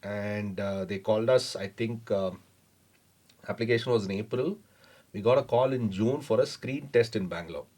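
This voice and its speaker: A man, a startup founder on a podcast, telling his story in a measured way with lots of fillers and hedges. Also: fairly low pitch, darkish tone. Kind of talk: casual interview storytelling.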